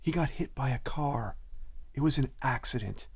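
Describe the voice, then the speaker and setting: Gentle, husky-voiced worried lover. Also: male voice, medium pitch, expressive, moderate pace. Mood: soothing, tender. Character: gentle, worried man comforting his sick sweetheart, Nick. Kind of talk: audio drama acting.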